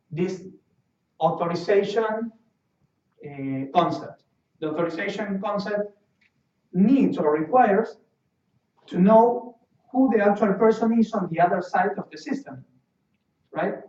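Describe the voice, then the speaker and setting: A fairly high-voiced man with an Argentine accent gives a technical talk, stopping and repeating words as he hunts for them. He sounds far from the mic in a roomy space. The sound is dull and muffled. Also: darkish tone. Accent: Argentine Spanish. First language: Spanish. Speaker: guest speaker. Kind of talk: technical presentation. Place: meeting room.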